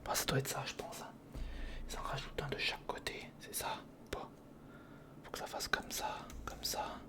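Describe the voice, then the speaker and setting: A man speaking in a breathy whisper, giving informal ASMR commentary while building something, muttering half to himself and half to the viewer. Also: normal speed. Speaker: ASMR video creator.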